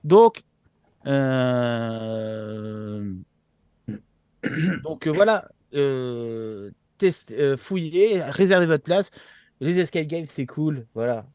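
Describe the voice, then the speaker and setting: A man's voice: medium pitch, expressive, drawn-out words, a little mellow, clear, resonant tone, no echo. Male co-host, mid-range voice, informal and repetitive for emphasis, dry recording. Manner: informal, repeats words for emphasis. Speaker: show co-host and columnist. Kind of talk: casual chat, informal announcement.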